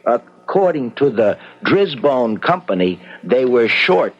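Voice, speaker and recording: An actor playing a meek, nervous, deferential bookkeeper who hesitates, in old-time radio drama style. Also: male voice, medium pitch, sing-song, takes it slowly, muffled recording. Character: meek, henpecked, nervous male bookkeeper, deferential and put-upon.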